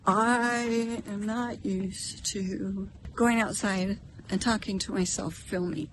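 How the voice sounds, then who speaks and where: Middle-aged woman, American accent, medium pitch, casual reflective vlog, musing and self-conscious, clear background noise. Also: steady tone, takes it slowly. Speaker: vlogger. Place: outdoors in a park, partly in a parking lot.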